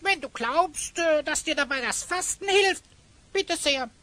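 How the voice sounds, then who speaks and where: Sehr hoher, greller, frecher Kumpan. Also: female voice, sits high, lively intonation, measured pace, fairly bright tone. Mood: peckish, sarcastic. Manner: indignant, sarcastic. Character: cheeky, food-loving, easily offended cartoonish sidekick Queck. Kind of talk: children's radio play acting.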